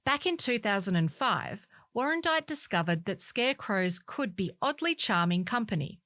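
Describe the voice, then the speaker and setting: A woman's voice: medium pitch, big pitch swings, moderate pace, fairly bright tone, no room sound. A woman reading community newspaper stories aloud as scripted narration. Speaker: volunteer newspaper reader.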